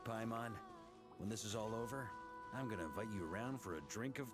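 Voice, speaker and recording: An actor playing a jovial middle-aged knight commander, genial and easygoing in a game. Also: male voice, on the low side, animated, brisk, loud music behind the voice, close to an everyday voice. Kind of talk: video game voice acting.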